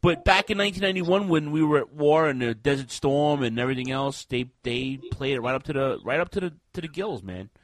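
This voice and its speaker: A man co-hosting a casual wrestling podcast, talking in a rambling, animated way with many restarts. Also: mid-range voice, fairly quick, few pauses, no echo, poor audio. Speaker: podcast co-host.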